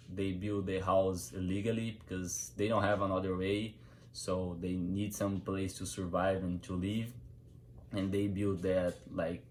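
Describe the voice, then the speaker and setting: A young man with a Brazilian accent, a native Portuguese speaker, giving an informal informative speech in simple non-native English phrasing. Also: deep voice. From Brazil, São Paulo state. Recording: clear background noise.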